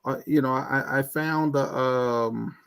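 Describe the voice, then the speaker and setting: A man's voice: mid-range voice, steady tone, takes it slowly, fairly bright tone, clear, resonant tone. A man talking as a guest in a casual online chat, stammering with many restarts. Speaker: guest IT professional.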